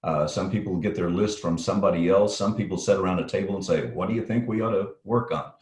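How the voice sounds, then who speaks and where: A middle-aged man with a slight Texan accent, answering interview questions in a practiced broadcast-style voice, pausing often with fillers. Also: deep voice. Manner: thoughtful, with frequent fillers and restarts. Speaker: guest safety consultant. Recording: echoey room.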